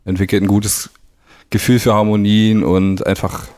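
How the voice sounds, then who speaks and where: Low mellow voice, young man, relaxed storytelling, dry close sound. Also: normal speed, no echo. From East Berlin, grew up in Brandenburg. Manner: relaxed, laughs often, anecdotal. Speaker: drummer, interview guest. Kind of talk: casual radio chat.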